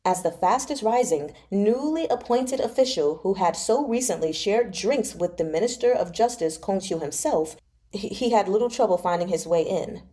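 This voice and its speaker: A woman's voice: medium pitch, lively intonation, normal speed, mellow. A woman hosting a read-along, reading a novel aloud and chatting casually about it as she goes. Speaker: host of a live book read-along.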